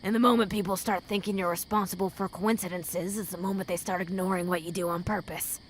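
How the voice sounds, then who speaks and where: A woman playing a tough, world-weary female ghoul who gives blunt, protective advice in a fan audio drama, with a creaky voice. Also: lowish voice, steady tone, fast, a little hiss or hum, lightly acted. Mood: earnest warning. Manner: blunt, earnest advice-giving.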